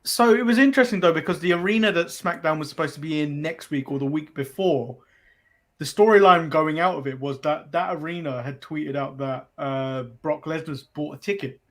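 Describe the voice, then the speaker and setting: A man's voice: a little high, fairly quick, fairly bright tone. A man from England, co-hosting a casual sports podcast and rambling a little as he repeats phrases. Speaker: podcast co-host.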